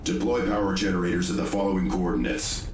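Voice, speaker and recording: Deep gravelly space marine tech-priest. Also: male voice, lively intonation, quick pace, roomy sound, very noisy. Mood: measured, formal. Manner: formal, clipped military speech. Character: stern veteran armored space marine tech-priest, calm and formal. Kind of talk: video game voice acting.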